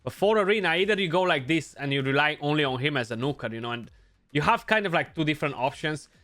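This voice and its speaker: A man's voice: fairly high pitch, animated, quick pace, a bit bright, soft background music. A young man with a Romanian accent giving casual gameplay commentary, thinking aloud and often adding "you know". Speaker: gaming YouTuber.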